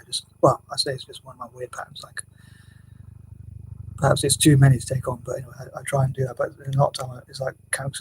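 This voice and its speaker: A man vlogging casually at home, with a quiet voice, talking hesitantly with many restarts and fillers, often sinking to a near whisper. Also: medium pitch, slight background noise, low-quality recording. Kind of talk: rambling casual vlog monologue. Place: home room, building work next door.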